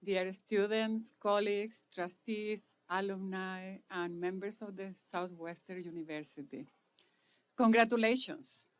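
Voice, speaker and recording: Middle-aged Chilean woman, Spanish native, formal ceremony speaker, deliberate phrasing, mid-pitched. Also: fairly even intonation. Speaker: speaker at an inauguration. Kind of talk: formal prepared speech.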